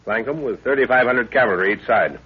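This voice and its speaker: Seasoned commander, fatherly, authoritative, rough-edged voice, thin vintage radio recording. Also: medium pitch. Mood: brisk. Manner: brisk, authoritative, clipped orders. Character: seasoned middle-aged military commander, gruff but fond father. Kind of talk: 1930s radio drama acting. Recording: tinny, muffled 1930s radio recording.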